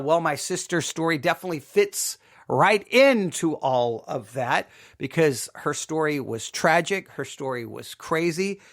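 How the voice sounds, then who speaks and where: A man's voice: on the high side, a bit bright. A man telling a personal story to his listeners as a podcast host, speaking emphatically and repeating phrases for effect.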